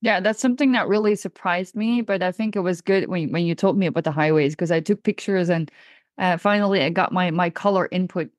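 A woman's voice: fairly low pitch, fairly quick, dry recording. Woman podcast host, dry sound. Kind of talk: conversational interviewing.

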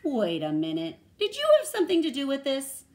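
A woman voicing an excitable, gushing mother hyena in a children's story. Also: lowish voice, normal speed. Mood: curious. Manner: exclamatory, gushing.

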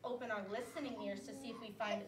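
A woman teaching a class of young children, lively and coaxing, with call-and-response cues. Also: fairly high pitch, quick pace, crowd noise. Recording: echoey classroom from far off, light noise.

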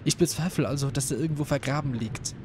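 A man's voice: mid-range voice, fast, a little mellow, a little hiss or hum, lightly acted. A young man playing a curious student who takes charge, in an audio drama. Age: young adult. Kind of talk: amateur audio drama acting. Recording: music behind.

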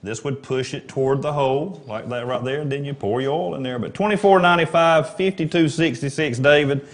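A man's voice: mid-range voice, fairly quick. A man with a Southern US accent co-hosting a shopping show with plain-spoken, folksy banter. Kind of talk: casual banter and sales pitch.

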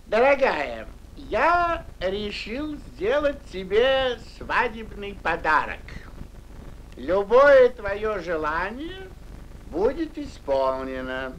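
A man's voice: sits high, very animated, takes it slowly, fairly bright tone, a little hiss or hum, exaggerated voice, low-quality recording. An actor playing a pompous, self-important rich mole bridegroom in a classic cartoon, drawing out his words theatrically. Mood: pompous, courtly. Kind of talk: classic Soviet cartoon voice acting. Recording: old cartoon soundtrack, faint music.

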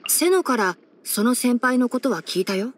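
A woman's voice: fairly high pitch, expressive, normal speed, breathy edge. A woman voicing a young male fox-eared ranger in a video game, scholarly and sensible, speaking in a composed, articulate, mildly wry way. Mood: reflective. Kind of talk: scripted video game character voice-over.

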